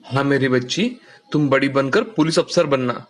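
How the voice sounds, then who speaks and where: A man playing a humble, caring village father in a children's animated story, earnest and gentle in manner. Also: mid-range voice, moderate pace, lightly acted. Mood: affectionate.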